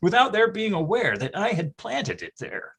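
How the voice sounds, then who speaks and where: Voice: medium pitch, huge pitch swings, moderate pace, a bit bright. A performer playing a nostalgic, melodramatic storyteller, delivering wry and grandiose scripted comic narration. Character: melodramatic, nostalgic storyteller recalling boyhood schemes.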